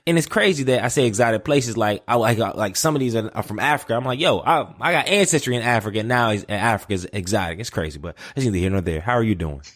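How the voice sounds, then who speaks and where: A man's voice: on the low side, expressive, fast talker, hardly any pauses, fairly bright tone. A man hosting a podcast interview, playful and rambling, laughing often as he talks. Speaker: podcast host.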